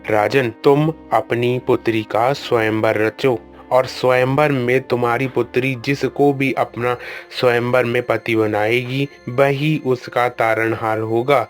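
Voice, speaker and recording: A man voicing a wise old guru who calmly reads a princess's palm and gives firm orders in a cartoon story. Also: mid-range voice, a little slow, faint music behind, natural voice. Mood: solemn. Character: wise old male guru, calm and commanding. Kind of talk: Hindi cartoon storybook voice acting.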